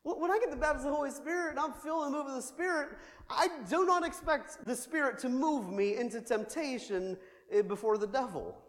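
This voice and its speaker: A male pastor with a fairly high voice delivers an animated sermon. He swings between loud declaring and soft asides, and a long reverb trails him around the church hall. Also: brisk.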